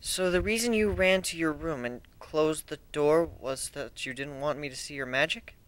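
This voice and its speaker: A man's voice: a little high, normal speed, fairly bright tone, dry recording, lightly acted. A man voicing a bookish, curious young female pony in an amateur dramatic reading, questioning and a little hesitant. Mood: wistful, curious.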